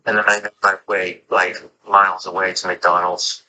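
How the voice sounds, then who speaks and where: Very sharp-voiced man on a noisy call. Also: deep voice, flat delivery, normal speed. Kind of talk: casual phone conversation. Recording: noisy phone call with ambient sound.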